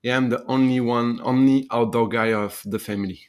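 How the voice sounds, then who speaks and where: A young man with a French accent, a guest in a casual interview, pausing to search for words in a second language. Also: medium pitch, fairly even intonation. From France. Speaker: guest adventurer.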